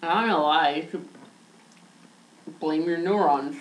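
A woman's voice: sits low, a little slow. A young woman in casual banter, teasing and blunt, filmed on a webcam in a small bare room. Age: young adult. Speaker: co-host. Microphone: laptop webcam across the table. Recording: echoey room with faint hiss.

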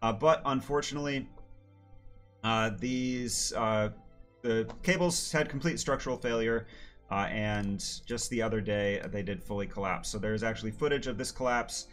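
A man in his thirties, slightly hoarse, hosting a casual science livestream with plenty of "uh" fillers. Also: on the low side, normal speed, fairly bright tone, faint music behind. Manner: friendly, filler-heavy with many "uh"s. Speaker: planetarium educator hosting a livestream. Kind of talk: casual live-stream talk.

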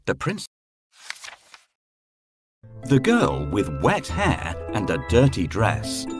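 A man reads a children's fairy tale as narrator. He is slow and extremely animated in pitch, with music playing behind him.

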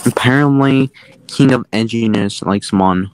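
A man's voice: medium pitch, measured pace, a little hiss or hum, low-quality recording. A man joking around casually on an online voice chat.

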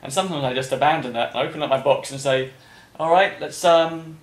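A man's voice: a little high, brisk. Man podcast host in an echoey room. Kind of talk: casual interview chat. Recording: roomy, echoing room.

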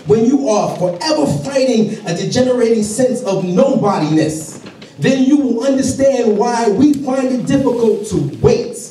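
A man giving a dramatic public reading from a stage, with deliberate, oratorical phrasing and pauses. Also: sits high, warm tone. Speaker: public reader at a community program. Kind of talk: dramatic public reading of a famous letter aloud. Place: large indoor hall, on stage before a seated audience. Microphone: stage microphone through speakers, recorded by far camera in audience. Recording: echoey hall from far off, faint noise.

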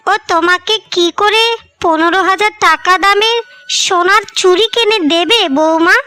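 Worried older mother-in-law, high-pitched woman acting. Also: moderate pace, sharp tone. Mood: worried, pleading. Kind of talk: Bengali moral-story cartoon dubbing.

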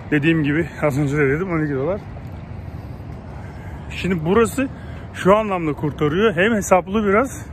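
A man in his thirties walks and talks to his viewers in a loose, rambling way, with the phone held out at arm's length. His voice sits a bit high for a man. Traffic and wind come through clearly behind him. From Turkey. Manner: chatty, rambling, addresses viewers directly. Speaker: travel vlogger. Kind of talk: casual walking-tour vlog. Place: outdoors on a windy lakeshore and city streets. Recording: outdoor phone audio with traffic and wind noise.

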